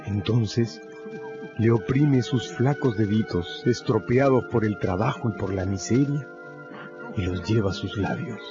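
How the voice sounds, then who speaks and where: A man narrating a radio drama in a measured, solemn, dramatic scripted style. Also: on the low side. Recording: dull recording, loud music and crowd behind.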